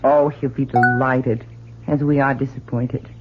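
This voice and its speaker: A woman's voice: a bass voice, flat delivery, a little slow, music in the background. An actress playing a fretful, doting middle-aged wife and mother in an old-time radio drama, emotional and fussing. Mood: warm, wistful. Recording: tinny, noisy old radio recording.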